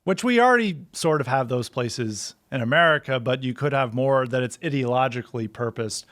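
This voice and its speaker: A man joining a podcast panel debate, interjecting with halting restarts. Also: mid-range voice, animated, fairly bright tone, no room sound, clean studio sound. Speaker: panel guest.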